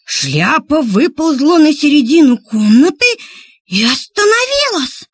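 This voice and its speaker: A woman narrating a scripted children's story in an expressive, theatrical manner with drawn-out emphasis. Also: high-pitched, no room sound.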